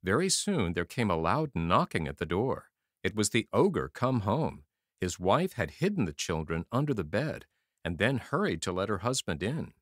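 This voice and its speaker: A man narrating a fairy tale as an audiobook reader, in a measured, expressive storytelling manner. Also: lowish voice, no room sound, clean studio sound.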